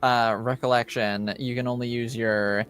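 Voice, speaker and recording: A man's voice: medium pitch, level tone, moderate pace, a bit bright, clear, resonant tone. A man acting as game master, casually explaining tabletop rules in a careful, qualifying way. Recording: music under the voice.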